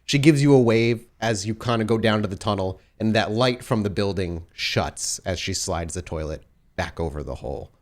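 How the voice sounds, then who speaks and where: Male storyteller for tabletop role-play, deep voice, scene-setting descriptive delivery. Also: normal speed. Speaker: storyteller, game master. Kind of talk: descriptive tabletop game narration.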